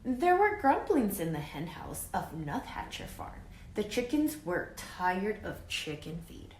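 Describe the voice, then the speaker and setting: A woman reading a children's picture book aloud expressively, with dramatic emphasis and hushed asides, pausing to question young listeners like a teacher. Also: mid-range voice, big pitch swings. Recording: roomy echo with faint background noise.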